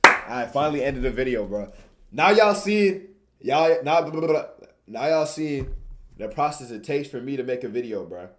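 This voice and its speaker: A man's voice: medium pitch, animated, normal speed. Slangy young man streaming casually. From Tanzania. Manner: informal, repeats phrases for emphasis. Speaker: streamer and video creator. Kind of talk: casual livestream chat, slangy.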